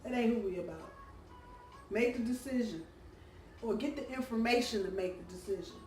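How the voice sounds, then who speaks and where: A hoarse woman giving a casual weekly tarot reading, chatty and full of ums and uhs. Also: on the high side, normal speed, faint music behind. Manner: chatty, warm-hearted, lots of ums and uhs. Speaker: tarot reader. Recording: roomy, distant from the mic, faint background hum.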